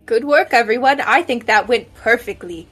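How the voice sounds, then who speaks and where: Female game player, notably high voice, playful casual chat, faint music bed. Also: moderate pace, a bit bright, slightly rough. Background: background music.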